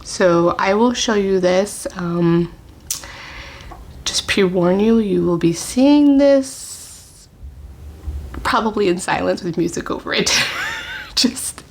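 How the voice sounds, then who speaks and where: A woman chatting casually to camera as a book vlogger at home, with soft laughs and whispered asides. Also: medium pitch, slow pace, long pauses. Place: at home, family in another room.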